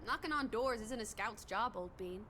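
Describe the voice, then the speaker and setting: A woman's voice: a little high, expressive, normal speed, pushed and bright, a little hiss or hum. An actress playing a playful young 1920s American woman in a video game, teasing in jaunty old-fashioned slang. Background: music.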